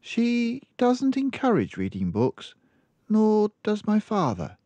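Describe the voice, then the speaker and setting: Man putting on a high-pitched earnest little girl. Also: huge pitch swings, slow, dark tone, no room sound, full character voice. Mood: plain, resigned. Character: tiny bookish little girl, earnest and polite. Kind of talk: storybook character voice.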